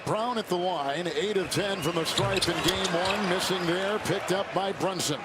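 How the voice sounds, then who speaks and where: A man's voice: high-pitched, moderate pace. A man calling live basketball play-by-play in clipped, punchy phrases. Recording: loud arena noise and bouncing ball. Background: basketball game ambience.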